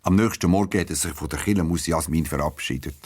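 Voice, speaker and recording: A man narrates a children's story in a low-pitched voice. He has a Swiss German accent and delivers scripted storytelling, with music clearly present in the recording. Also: fast talker.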